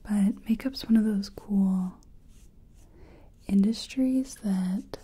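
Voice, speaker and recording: A woman playing a gentle, chatty makeup competition contestant in a soft-spoken, whispery ASMR personal attention role-play. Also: mid-range voice. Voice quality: breathy, whispery. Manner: gentle, unhurried, chatty, reassuring. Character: friendly, self-taught makeup competition contestant.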